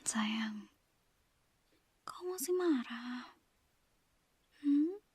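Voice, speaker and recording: A woman, whispering a slow, coaxing ASMR girlfriend roleplay into a close mic. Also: fairly high pitch, lively intonation, husky, no room sound. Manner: soft coaxing whisper, apologetic and pleading.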